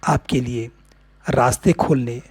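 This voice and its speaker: A man with an Indian accent narrating a guided meditation in short, deliberate phrases with long pauses. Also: mid-range voice, fairly even intonation, a little mellow, breathy edge.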